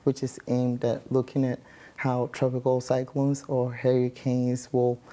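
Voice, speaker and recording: A man from Ghana, a guest scientist explaining his research in measured speech with many pauses. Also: medium pitch, completely flat delivery, dark tone.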